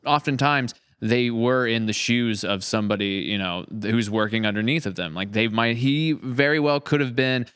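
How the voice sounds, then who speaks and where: A man's voice: medium pitch, normal speed, hardly any pauses, a bit bright, clear, resonant tone, no room sound, studio quality. A man hosting a casual podcast interview, talking in an effusive, appreciative way. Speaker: podcast host and series creator.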